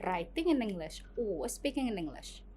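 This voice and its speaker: A woman's voice: medium pitch, sing-song, normal speed, mellow, a little hiss or hum. A woman from Indonesia, a lecturer hosting an interview, asking questions and encouraging her guest with frequent "mm-hmm" replies. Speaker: lecturer and host. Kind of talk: interview host.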